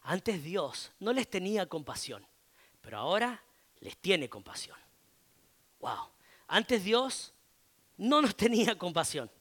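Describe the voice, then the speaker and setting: A middle-aged pastor with an Argentine Spanish accent preaches in a relaxed, talky way, leaning on fillers and tag questions. His voice sits high for a man. He takes his time, speaking at an unhurried pace. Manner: conversational, frequent filler "eh" and tag "¿no?". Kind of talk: sermon.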